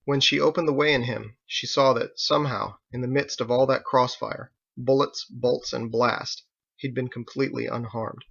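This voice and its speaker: Male audiobook narrator, middle pitch, creaky and forceful, dramatic prose reading, slightly dull recording. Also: fairly even intonation, moderate pace. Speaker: author reading own novel.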